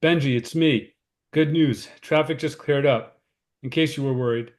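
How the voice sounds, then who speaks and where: A man's voice: mid-range voice, normal speed, darkish tone, close to an everyday voice. A man acting the part of a harried, considerate cousin, earnest and slightly fretful, in a screenplay read-through. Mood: anxious, upbeat. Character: David, harried, anxious, considerate male cousin. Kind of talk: informal screenplay table read, naturalistic dialogue.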